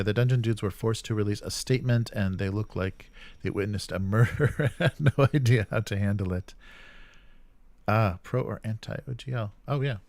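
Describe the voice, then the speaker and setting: Low-voiced man chatting on a livestream. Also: a little mellow, no room sound. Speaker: live stream host. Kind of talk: casual live chat commentary, reading and reacting to comments.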